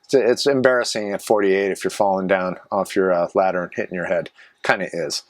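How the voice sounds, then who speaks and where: A man's voice: deep voice, steady tone, a little fast, dry recording. A man in his forties, rambling casually to camera from a small garage office, chatty and self-mocking with wandering asides. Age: 40s. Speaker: vlogger and live streamer.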